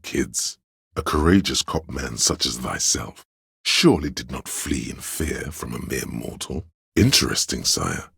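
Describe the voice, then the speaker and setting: A man voicing a sly, flattering inner voice in a video game, with theatrical, courtly, old-fashioned diction and a gravelly, husky bass. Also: no room sound, studio quality, heavily acted. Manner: grandiose, archaic courtly diction, conspiratorial. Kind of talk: scripted video game voice acting, mock-Shakespearean.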